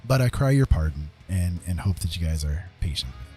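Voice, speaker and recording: A man chatting casually on an art livestream in a halting, rambling, apologetic way, talking into a microphone at his desk. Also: low-pitched, sing-song, warm tone. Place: indoors at a computer desk. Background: guitar rock music.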